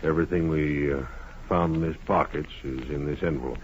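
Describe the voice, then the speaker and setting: Deep-voiced, folksy western sheriff. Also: male voice, fairly even intonation, fairly quick. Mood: somber. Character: slow, puzzled small-town western sheriff, a man. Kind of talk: 1950s radio western acting. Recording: dull, noisy old radio recording.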